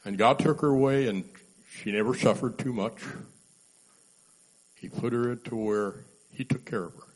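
A man's voice: fairly low pitch, low-quality recording. A man earnestly giving a testimony at a church service. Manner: earnest, emotional, praising.

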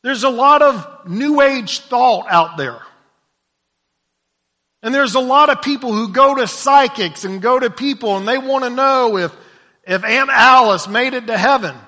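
High-voiced preacher in a reverberant hall. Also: male voice, unhurried. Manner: swings from low hushed lines to loud shouted emphasis; repeats words when stumbling. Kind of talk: sermon.

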